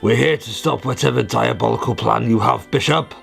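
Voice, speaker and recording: An actor playing an earnest, alarmed father of a grown daughter in a radio play, melodramatic and hesitant, with a gravelly voice. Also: mid-range voice, fairly quick, fairly bright tone. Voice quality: gravelly, creaky. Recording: reverberant church-like hall, music behind.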